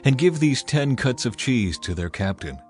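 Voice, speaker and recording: A man voicing an old father who calmly gives instructions. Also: medium pitch, moderate pace, soft background music, lightly acted. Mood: instructive.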